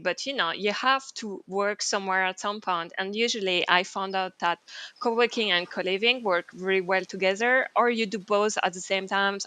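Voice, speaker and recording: A woman's voice: mid-range voice, sharp tone. A woman hosting a podcast interview in casual chat, a native French speaker who talks chattily with many fillers and restarts. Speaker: podcast host.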